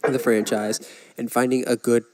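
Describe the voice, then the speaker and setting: A man's voice: medium pitch, level tone, moderate pace, noisy background. A man co-hosting a podcast, casually discussing films and giving his opinions with examples. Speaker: podcast co-host.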